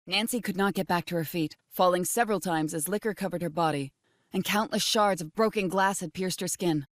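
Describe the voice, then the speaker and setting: A woman's voice: lowish voice, fairly quick, dry recording, studio quality. A woman narrating a dramatic scripted horror audiobook.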